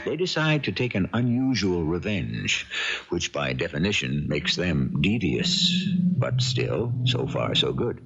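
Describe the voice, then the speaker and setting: Vintage radio mystery host: man, gravelly bass, wry and theatrical, lively intonation, music behind. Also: background noise. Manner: dramatic, deliberate, wry. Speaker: mystery drama host and narrator. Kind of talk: scripted 1970s radio narration. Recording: dull old radio recording, loud music bed. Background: loud music.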